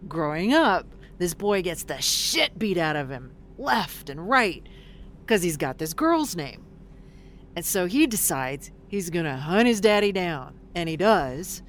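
An actress playing a blunt, skeptical, streetwise bus passenger in an audio drama, speaking colloquially. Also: female voice, on the low side. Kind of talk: audio drama acting, conversational. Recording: faint background ambience noise.

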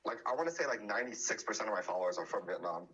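A man's voice: lowish voice, animated, rapid-fire, sharp tone, husky, highs cut off. A man chatting casually as a podcast guest, often restarting his sentences. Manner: hesitant, many restarts and fillers.

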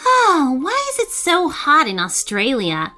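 A woman's voice: high voice, huge pitch swings, slow pace, bright, forceful tone, faint music behind, pitched above the performer's own voice, full character voice. A woman voicing a curious, eager young girl in a children's story. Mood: complaining.

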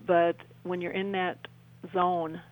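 An older woman, around her sixties, talking as an interview guest in a halting, rambling way and often rephrasing mid-sentence. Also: fairly low pitch, little pitch movement, low-quality recording. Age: older, about 60s. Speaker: interview guest, UFO experiencer. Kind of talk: conversational radio interview storytelling.

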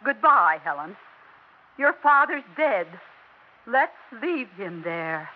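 Older widow, anxious and accusing, swinging pitch, vintage radio play. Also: female voice, sing-song, background noise. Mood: defensive. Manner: slow, pleading, bitter. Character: anxious elderly widow Agatha, bitter yet pleading. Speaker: wife and mother. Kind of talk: 1960s radio drama acting. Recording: dull vintage radio recording.

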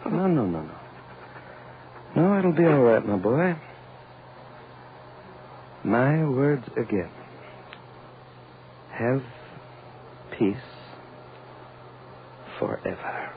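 An actor playing a grave, despairing older scientist, speaking solemnly and oratorically in 1940s radio drama style. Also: male voice, medium pitch, big pitch swings, very slow, long pauses, full character voice. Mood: bleak resignation. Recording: muffled, noisy 1940s radio recording.

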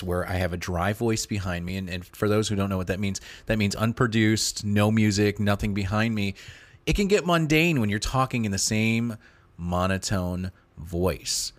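A man's voice: lowish voice, lively intonation, normal speed, dry recording. A man reviewing a podcast in casual commentary, enthusiastic and often repeating and restarting words.

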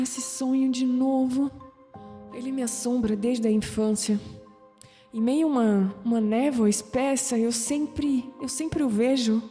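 Audio drama lead: actress playing haunted young Brazilian woman, wistful, high soft-edged voice, sighing pauses, music underneath. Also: lightly acted. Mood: dreamy, uneasy. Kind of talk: audio drama acting, inner monologue. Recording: long reverb, music underneath.

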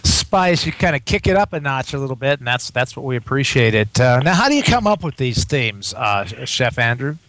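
A man hosting a radio talk show, chatting in a relaxed way with frequent restarts. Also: medium pitch, brisk, few pauses, no echo. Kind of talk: casual radio chat.

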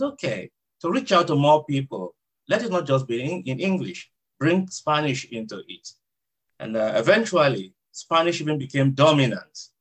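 Reflective Nigerian-accented man. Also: mid-range voice. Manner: reflective, measured, frequent "uh" fillers. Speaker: hospital chaplain. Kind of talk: interview answers.

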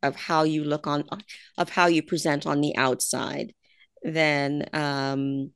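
A woman hosting a show, interviewing a guest and asking questions in a halting way with pauses and fillers. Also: low-pitched, flat delivery, smooth, no echo, clean studio sound. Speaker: radio show host.

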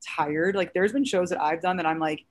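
A woman around thirty with a raspy voice, a stand-up comedian chatting casually as a podcast guest with lots of fillers. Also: fairly low pitch, normal speed. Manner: chatty, animated storytelling with many fillers.

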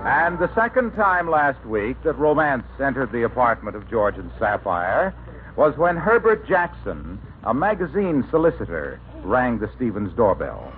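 A man's voice: medium pitch, sing-song, measured pace, faint music behind. A man announcing and narrating a scripted mid-century radio comedy in the style of that era. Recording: tinny old radio recording.

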